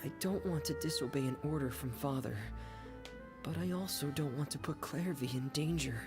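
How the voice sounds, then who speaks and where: A man voicing a quiet, conflicted and dutiful young man in an anime video game. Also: on the high side, moderate pace, close to an everyday voice. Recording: prominent music behind the voice.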